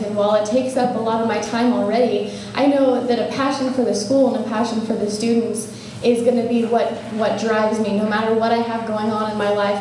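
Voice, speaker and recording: A woman's voice: a little high, dark tone. A young woman running for student government president, answering debate questions in an earnest, eager way. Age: young adult. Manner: earnest, eager, speaks in fluent run-on sentences. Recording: echoey room from far off, faint noise.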